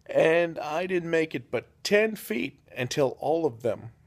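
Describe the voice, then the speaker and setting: Young guy, deadpan comic storyteller, rambles with lots of fillers. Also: on the high side, measured pace. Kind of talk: improvised comedic storytelling.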